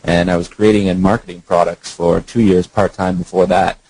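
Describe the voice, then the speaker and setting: Man, deep voice, husky, dry sound, informal explanatory conference call presenter. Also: flat delivery, moderate pace, darkish tone, dry recording, low-quality recording. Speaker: online marketer, company co-founder.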